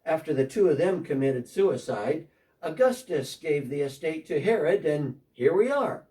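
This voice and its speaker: A man's voice: on the high side, expressive, normal speed, mellow, distant mic, natural voice. A man playing a defensive, talkative tax collector in a church dramatic reading, speaking one side of a phone call.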